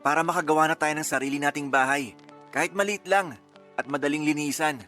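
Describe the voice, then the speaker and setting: Affectionate boyfriend, radio romance drama, gently teasing, slightly high bright voice, light music. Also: male voice, fast talker. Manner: affectionate, conversational.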